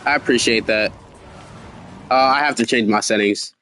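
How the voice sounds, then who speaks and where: A man's voice: mid-range voice, moderate pace, bright, forceful tone, slight background noise. A slightly sick, sniffling man giving laid-back, chatty commentary while streaming a game. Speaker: gaming streamer. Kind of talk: casual gameplay commentary.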